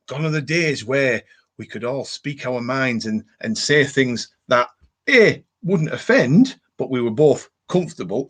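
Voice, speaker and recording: Cumbrian male host, casual rambling live chat with viewers, average pitch. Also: a bit bright. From Cumbria, England. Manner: rambling, chatty, repeats catchphrases. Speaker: livestream host.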